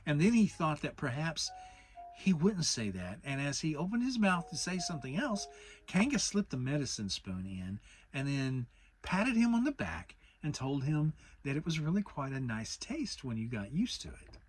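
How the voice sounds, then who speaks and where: A woman's voice: sits low, big pitch swings, moderate pace. A woman narrating a children's audiobook as a dramatic reading. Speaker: storybook narrator.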